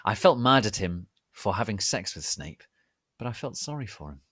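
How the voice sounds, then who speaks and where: A man giving a theatrical, deliberate, mock-serious dramatic reading of fan fiction. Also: fairly low pitch, sing-song, a bit bright, no room sound, clean studio sound. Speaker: reader of online fan fiction.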